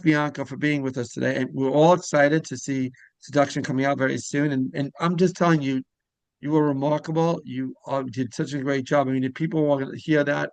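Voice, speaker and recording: A man's voice: mid-range voice, creaky, a little mellow, dry recording, rough recording. A man hosting a casual interview, talking in a rambling way that circles back mid-sentence. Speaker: podcast host interviewer.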